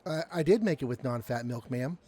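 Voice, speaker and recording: A man's voice: mid-range voice, lively intonation, fairly quick, dark tone, dry recording, natural voice. A man playing a meek, good-natured young coffeehouse worker in an audio drama, mild and hesitant in manner. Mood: flustered.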